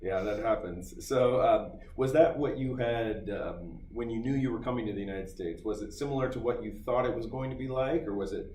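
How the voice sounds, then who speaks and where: A man's voice: medium pitch, fairly quick, hardly any pauses, darkish tone. Rambling man interviewer, echoey room. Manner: rambling, rephrases his questions. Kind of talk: interview questions. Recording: echoey room, distant mic, light noise.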